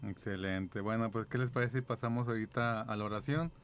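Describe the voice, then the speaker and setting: A man's voice: on the low side, steady tone, brisk, slight background noise. A man co-hosting a parish program, chatting jokingly and then leading a prayer. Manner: jokey, laughing easily. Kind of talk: casual chat and prayer.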